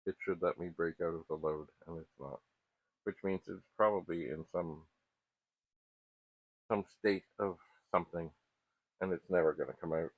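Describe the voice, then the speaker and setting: Middle-aged guy, raspy and creaky, kinda muttering through a tech demo, halting, tinny phone-like sound. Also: sits low, fairly even intonation, dry recording. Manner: musing aloud, halting, self-questioning. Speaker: host of a vintage computer repair channel. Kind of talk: casual unscripted hands-on tech commentary. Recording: muffled, phone-like narrow band.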